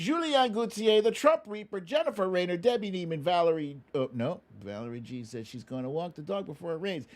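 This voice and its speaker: A livestream host chatting casually and reading out names in a playful, informal, self-deprecating way. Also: mid-range voice. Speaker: livestream host running a song-guessing game.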